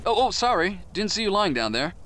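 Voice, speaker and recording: A man's voice: sits high, very animated, brisk, background noise. An actor playing a solemn male gangster in a video game. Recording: loud game music behind.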